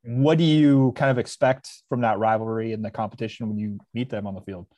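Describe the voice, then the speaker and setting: A man's voice: lowish voice, steady tone, brisk, dark tone, no room sound. A man co-hosting a podcast, asking questions in an easygoing way full of fillers. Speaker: podcast co-host. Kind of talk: casual podcast chat.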